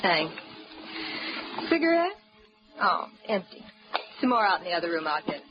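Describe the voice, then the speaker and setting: A woman's voice: on the low side, big pitch swings, normal speed. A coy, teasing young woman. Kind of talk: 1940s radio acting. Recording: dull vintage radio broadcast with loud music.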